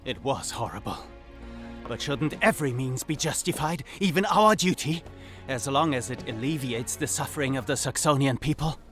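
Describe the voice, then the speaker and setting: An actor playing a dutiful, earnest army colonel in a video game, speaking formally. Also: male voice, medium pitch, expressive, normal speed, a bit bright, soft background music, natural voice. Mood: earnest, troubled.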